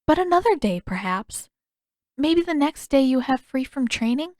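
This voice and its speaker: A woman voicing a gentle, wistful young princess in a storybook reading, speaking politely in measured, formal phrases. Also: high voice, very animated, dry recording, studio quality, pitched above the performer's own voice. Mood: reserved, regretful.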